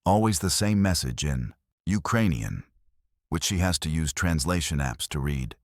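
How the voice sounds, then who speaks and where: A man reading scripted horror stories as a narrator, with a measured, ominous delivery. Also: a bass voice, animated, no echo, studio quality.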